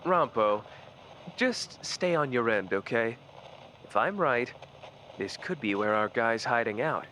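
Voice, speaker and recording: A man's voice: medium pitch, lively intonation, a little slow, sharp tone. Casting a man for a wry, theatrical young partner detective, middle voice, hissy noisy recording. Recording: noisy background hiss.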